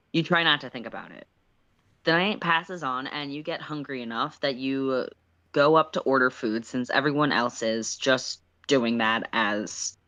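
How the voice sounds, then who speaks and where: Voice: mid-range voice, sharp tone, dry recording, highs cut off. A narrator reading a story aloud on a casual livestream, with playful, self-deprecating asides.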